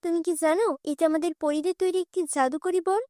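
A woman's voice: very high voice, steady tone, brisk, mellow, no room sound, studio quality. A woman voicing a stern but kindly forest fairy in a cartoon, with a commanding, questioning manner. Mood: suspicious, commanding.

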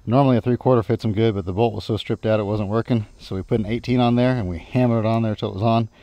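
A man in his thirties giving a relaxed, plain-spoken walkthrough of his junkyard, often trailing off quietly at the end of a remark. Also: lowish voice, quick pace, dry recording. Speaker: junkyard owner and channel host. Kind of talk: casual vlog walkthrough. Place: outdoor junkyard and inside a pickup truck.

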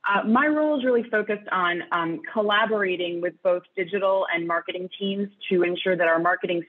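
A woman in her forties from Brazil and the US, introducing herself conversationally as a panelist on a video call. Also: fairly low pitch. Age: 40s. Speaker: panelist, marketing executive. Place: indoor home room. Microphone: wireless earbuds on video call. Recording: thin phone-like video-call audio.

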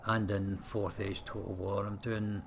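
A man's voice: lowish voice, level tone, measured pace, a little hiss or hum. A man casually commentating while playing a strategy game, chatty and muttering to himself, on a close desk mic. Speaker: let's play gamer. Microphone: desk mic set close.

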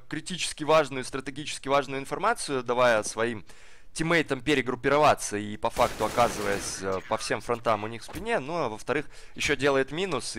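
A hoarse man commentating a live online game match in a casual, slangy way, speeding up during the action. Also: medium pitch, a bit bright. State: hoarse from the cold. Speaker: esports commentator. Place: cold flat at home.

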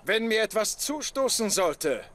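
An actor playing a gentle, wise, cheerful elderly leader with a courteous manner in a German cartoon dub. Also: male voice, high-pitched, very animated, unhurried, a crowd in the background. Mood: calm, wry. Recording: loud music behind the voice.

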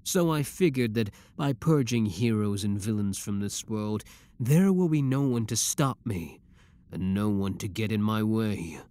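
Man acting a low-voiced obsessive young villain. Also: warm tone, close to an everyday voice. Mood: brooding resolve. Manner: deliberate, theatrical, possessive. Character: obsessive, menacing young male villain, coldly devoted yandere. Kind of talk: scripted ASMR roleplay, dramatic monologue.

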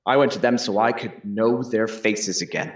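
A man's voice: fairly low pitch, steady tone, normal speed, natural voice. A man playing a colonial Boston eyewitness giving plain testimony in a historical courtroom reenactment. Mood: grave, deliberate. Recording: roomy echo, distant microphone.

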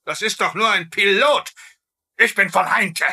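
Sharp, pompous captured field marshal. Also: male voice, high-pitched, unhurried, slightly rough, full character voice. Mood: indignant, boastful. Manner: haughty, commanding, clipped. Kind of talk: dubbed TV sitcom acting.

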